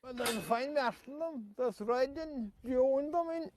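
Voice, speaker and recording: A man's voice: very high voice, measured pace, no room sound. A man with a thick rural Irish accent, speaking as a farmer interviewed in a news clip, hard to follow. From rural Ireland.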